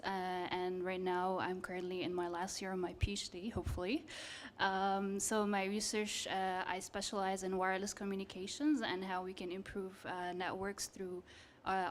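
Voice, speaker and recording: A young woman from Egypt speaks on a panel in a clear, explaining way, pausing often on fillers. Her pitch is ordinary for a woman, and faint room noise runs underneath. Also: fairly even intonation. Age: young adult. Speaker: panelist, PhD candidate. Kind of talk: panel discussion.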